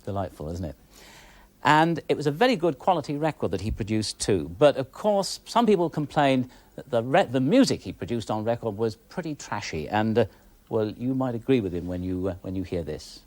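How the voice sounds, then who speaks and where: Genteel British man with animated intonation. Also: medium pitch, a little fast. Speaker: television presenter. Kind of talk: informal expert presentation to camera.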